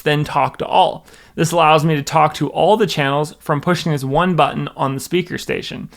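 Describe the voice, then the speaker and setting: An American man narrates a scripted product walkthrough. His voice sits a little high for a man and carries a strong creak. He explains things methodically, step by step, like an instructor. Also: fairly bright tone. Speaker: presenter, church production director.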